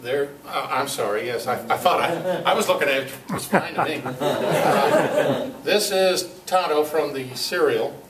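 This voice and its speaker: A man with a slightly high voice gives a folksy, halting show-and-tell talk, dropping in jokes. He is picked up from a distance in an echoey room with noise in the background. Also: crowd noise. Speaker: club speaker giving a talk. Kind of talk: informal show-and-tell talk to a club audience. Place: club meeting room, live talk.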